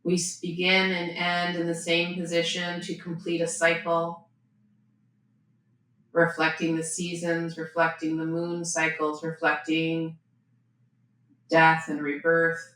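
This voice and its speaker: A woman yoga teacher giving gentle, unhurried guided breathing and posture instruction, with pauses between phrases. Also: fairly low pitch, completely flat delivery. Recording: very echoey room, faint background noise.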